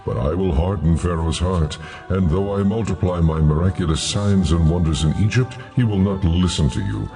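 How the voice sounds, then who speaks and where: A very deep, solemn divine male voice, declaring and commanding with stately authority in a scripture audio drama. Music plays clearly behind the words. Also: expressive, normal speed, dark tone.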